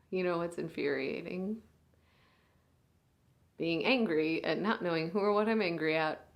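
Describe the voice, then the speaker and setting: A woman performing a monologue as a weary, grieving big sister speaking at her younger sister's bedside, moving between hushed whispers and raised anger. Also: lowish voice, unhurried, gravelly, pauses often. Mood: frustrated.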